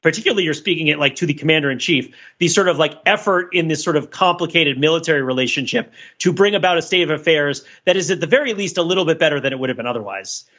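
A man's voice: fairly high pitch, animated, fast talker, hardly any pauses, a bit bright, lo-fi sound. A man talking casually about pop culture as a podcast panelist, in a rambling, digressive and analytical way.

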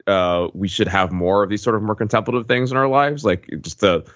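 A man's voice: fairly low pitch, a little fast, a bit bright, no echo, lo-fi sound. A man co-hosting a podcast, chatting casually and putting questions to the others. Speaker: podcast co-host. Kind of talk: casual discussion, posing questions.

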